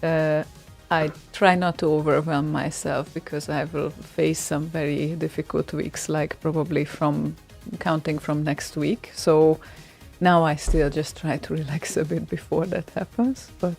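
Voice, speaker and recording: A woman's voice: low-pitched, flat delivery, unhurried, gravelly, a little mellow, soft background music. A woman hosting a radio show, chatting casually in a rambling way with many fillers and a dry, teasing humor. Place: radio studio.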